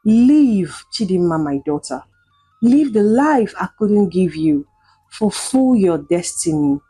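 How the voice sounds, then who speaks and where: A woman's voice: mid-range voice, sing-song, takes it slowly, dark tone, slightly rough, soft background music, exaggerated voice. Woman voicing a remorseful, pleading mother. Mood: resigned, tender.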